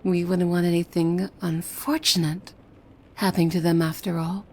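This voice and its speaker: A woman playing a possessive, devoted demon maid in an ASMR roleplay, coy and formal, with a soft breathy voice that often slips into whispers. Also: fairly low pitch, level tone, normal speed. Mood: possessive, sly. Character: possessive, devoted young female demon maid, sweetly menacing. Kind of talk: ASMR roleplay, scripted monologue to the listener.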